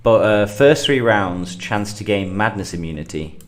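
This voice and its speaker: A middle-aged man, getting over a cold and a bit sniffly, talking through a game on a livestream in a halting way, thinking aloud with lots of fillers. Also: on the low side, expressive. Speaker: gaming livestream host. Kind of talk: casual gameplay commentary.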